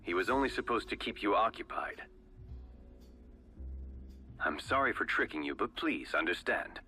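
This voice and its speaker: An actor playing a ruthless, commanding older company chief in a video game, grave, measured and grandiose. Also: male voice, on the low side, big pitch swings, gravelly, pushed and bright, a little hiss or hum, full character voice. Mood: grave, resolute. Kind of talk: video game cutscene acting. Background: faint background music.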